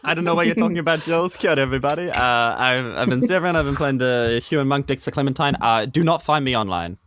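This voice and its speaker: A man's voice: medium pitch, quick pace, a bit bright, slight background noise. A man chatting casually as a podcast player, joking in a wry, dry-humoured way. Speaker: podcast cast member and player. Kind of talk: casual chat.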